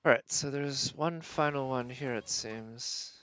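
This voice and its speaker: A male game streamer with a mid-pitched voice talks casually as he plays. He thinks out loud in short, murmured remarks, in the loose style of live commentary. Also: unhurried, smooth.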